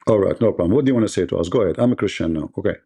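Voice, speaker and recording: Guy hosting a debate stream, pushy and repetitive, darkish mellow voice. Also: low-pitched, very fast, gravelly, breathy edge. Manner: combative, repetitive and quizzing. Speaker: host.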